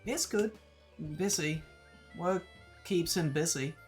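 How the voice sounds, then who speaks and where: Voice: mid-range voice, takes it slowly, soft background music, close to an everyday voice. A streamer with a Danish accent voicing a polite, eager young woman who is new to an island, in an everyday voice.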